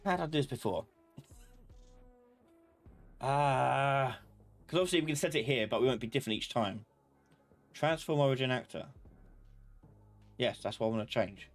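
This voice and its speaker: A man's voice: medium pitch, moderate pace, pauses often. Informal man teaching live, music behind. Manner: informal, muttering and humming while working. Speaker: host, software instructor. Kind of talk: casual live tutorial with thinking aloud.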